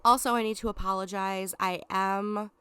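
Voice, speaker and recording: A woman's voice: mid-range voice, steady tone, normal speed, pushed and bright, clear, resonant tone, no room sound. A woman with a stuffed-up voice from a cold, chatting helpfully as she talks viewers through a video game walkthrough step by step. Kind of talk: casual walkthrough narration.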